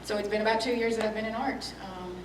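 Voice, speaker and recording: A retired woman giving a brisk, matter-of-fact introduction at a club meeting. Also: mid-range voice, steady tone. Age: older. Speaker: new member introducing herself. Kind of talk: brief self-introduction. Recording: echoey room, distant mic, loud noise.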